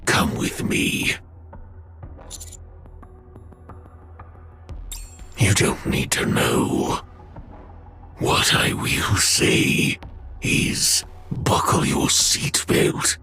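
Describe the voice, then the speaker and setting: An actor playing a menacing machine villain in a video game, mocking and condescending toward humans. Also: male voice, very deep voice, lively intonation, very slow, gravelly, pushed and bright, faint music behind, exaggerated voice. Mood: cold menace. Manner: taunting, condescending. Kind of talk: dramatic video game voice acting.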